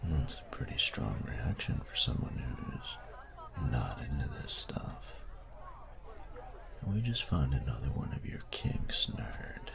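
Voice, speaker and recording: A man playing a cocky, taunting, domineering young bully in an intimate audio roleplay, speaking in a breathy, gravelly half-whisper with slow, teasing menace. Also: rumbling low, very animated, a crowd in the background, exaggerated voice. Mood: smug teasing. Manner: slow, teasing, menacing delivery with drawn-out pauses.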